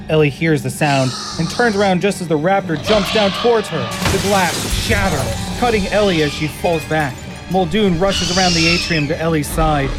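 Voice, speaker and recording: A man reading stage directions as narrator of a fan audio drama in an even, descriptive way. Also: on the high side.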